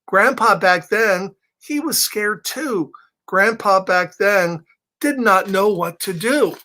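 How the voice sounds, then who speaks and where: Man reading as wise storybook mother creature, gentle, slow, measured, reassuring. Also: high-pitched, expressive, full character voice. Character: wise, gentle mother chickapig soothing her child. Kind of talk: read-aloud children's storybook.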